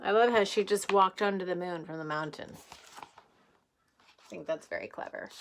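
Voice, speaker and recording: A woman's voice: fairly high pitch, pushed and bright, slight background noise. A woman teacher reading a picture book aloud to young children in a playful way, with chatty asides and questions.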